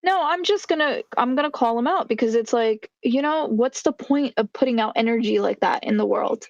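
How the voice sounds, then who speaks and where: Woman, fairly high voice, dry close sound; blunt, outspoken chat participant. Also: fairly quick, dry recording, dull audio. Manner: outspoken, calls people out. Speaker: livestream co-host. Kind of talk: casual group livestream chat.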